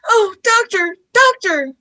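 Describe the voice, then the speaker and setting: A strikingly high, girlish voice acted by a woman plays a young companion in a radio play. She is frightened and pleading, with anxious, urgent exclamations. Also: lively intonation, takes it slowly, pushed and bright, full character voice. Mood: panicked.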